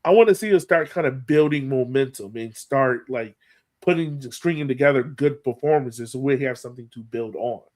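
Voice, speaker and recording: A man's voice: mid-range voice, moderate pace, a little mellow. A man co-hosting a sports podcast, talking casually and thinking aloud as he gives his opinions on soccer. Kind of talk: casual soccer analysis chat.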